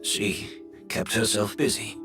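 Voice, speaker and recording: A man acting as an ailing, remorseful gentleman merchant in a video game, measured, polite and resigned. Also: recorded from a distance, over music, natural voice.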